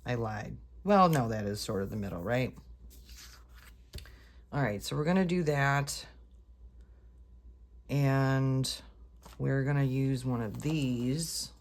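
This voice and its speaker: A congested woman with a very low voice chats unhurriedly as she works through a craft project. She keeps talking to her viewers, changing her mind and correcting herself. Her nose is stuffed up, so her voice sounds a bit off. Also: slow, long pauses. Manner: chatty, self-correcting, talks to viewers while working. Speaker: planner vlogger. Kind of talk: casual rambling craft chat.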